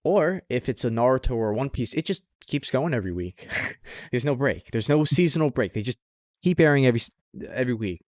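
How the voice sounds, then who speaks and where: A man's voice: mid-range voice, lively intonation, a little fast, a little mellow, no echo, clean studio sound. A man hosting a podcast, talking casually and informally in a rambling way, with some casual swearing. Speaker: anime podcast host. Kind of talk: casual podcast chat, reviewing shows.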